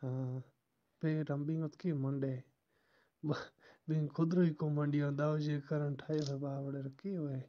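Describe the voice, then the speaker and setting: The voice is a caller's, medium in pitch and flat in melody. The talk is casual and private, as on a phone call. The recording is dull and lo-fi. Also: a little slow.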